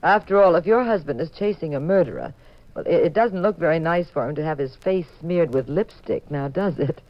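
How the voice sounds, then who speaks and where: An actress playing a chatty, arch detective's wife in a 1950s radio drama, on a muffled vintage recording. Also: female voice, low-pitched, lively intonation, brisk, no echo. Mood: wry, chatty. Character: wry, playful detective's wife, young-to-middle-aged woman.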